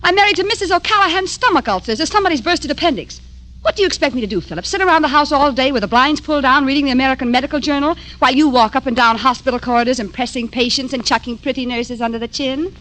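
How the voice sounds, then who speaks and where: An actress playing a sarcastic, fiery doctor's wife in 1950s radio drama style. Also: female voice, high-pitched, very fast, fairly bright tone, low-quality recording. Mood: scornful, sarcastic. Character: sarcastic, fiery doctor's wife; also a slangy island bar girl. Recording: old AM radio drama, music behind.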